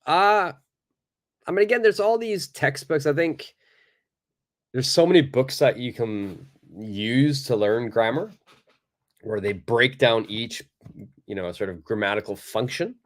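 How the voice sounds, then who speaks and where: A male native English speaker teaching a live online English lesson, answering viewers' questions in a relaxed, chatty way with lots of fillers. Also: medium pitch, measured pace, long pauses. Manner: relaxed and conversational, many fillers, acts out little examples.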